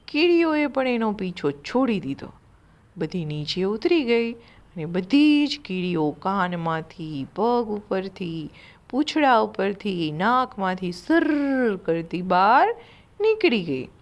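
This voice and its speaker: A woman storyteller narrating a children's moral tale in an expressive, deliberate way. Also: medium pitch.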